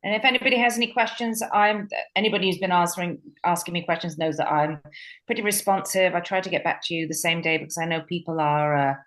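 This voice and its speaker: A woman co-organizing a tour, wrapping up a group video call in a brisk, reassuring way. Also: on the low side, a bit bright, poor audio.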